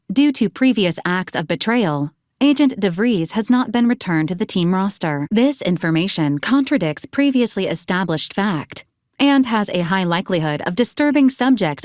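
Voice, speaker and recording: A woman voicing an officious, literal-minded robot companion in a video game, speaking in clipped, formal, deadpan announcements. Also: mid-range voice, dry recording, studio quality, natural voice. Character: female-voiced hovering robot companion, clinical, officious, dryly literal. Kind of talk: scripted video game character lines.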